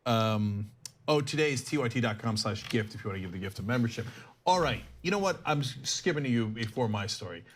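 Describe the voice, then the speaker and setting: A male show host runs a live broadcast with brisk promotional patter before he turns to question his panel. His voice sits at a middle pitch. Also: lively intonation, slight background noise.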